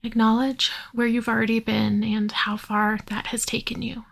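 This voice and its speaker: Steady, high-voiced woman guiding meditation, slightly creaky. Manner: soothing, unhurried, with long pauses. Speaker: meditation guide. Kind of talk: guided yoga nidra meditation.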